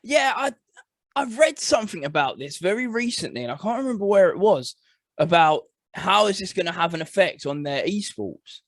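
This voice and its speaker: A man co-hosting a casual gaming podcast, agreeable and chatty, quick to laugh. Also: high voice, expressive, dry recording, studio quality. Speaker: podcast co-host.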